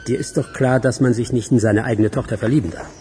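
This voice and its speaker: An actor playing a manipulative, coaxing male film director in a radio crime drama, with a creaky voice. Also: medium pitch, steady tone, quick pace, warm tone, close to an everyday voice. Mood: coaxing, instructive. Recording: clear music behind the dialogue.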